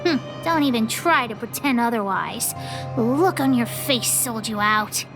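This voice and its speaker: A woman voicing a bossy, excitable little girl who leads a gang of kids, self-important and cheeky, in a video game. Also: fairly high pitch, a little slow. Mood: indignant, proud. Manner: self-important, cheeky, refers to herself by name. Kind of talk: video game character voice acting. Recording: music under the voice. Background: background music.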